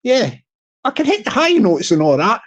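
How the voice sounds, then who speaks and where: A man with a high-pitched tenor voice, talking casually on his livestream and repeating his phrases as he rambles. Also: huge pitch swings, normal speed, dull audio. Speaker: YouTuber livestream host.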